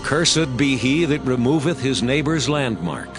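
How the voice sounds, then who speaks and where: A man's voice: mid-range voice, lively intonation, measured pace, over music, close to an everyday voice. An actor playing a stern Levite priest pronouncing curses in a declamatory, dramatized King James Bible reading. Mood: stern condemnation.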